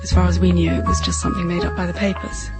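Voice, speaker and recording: A woman's voice: on the low side, level tone, fast talker, over loud music. A mature woman giving a reflective personal recollection, hesitant and searching for words. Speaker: interviewee.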